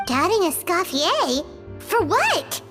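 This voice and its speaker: Squeaky, childlike little companion. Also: female voice, big pitch swings, slow, fairly bright tone, faint music behind, exaggerated voice. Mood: puzzled. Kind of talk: video game voice acting.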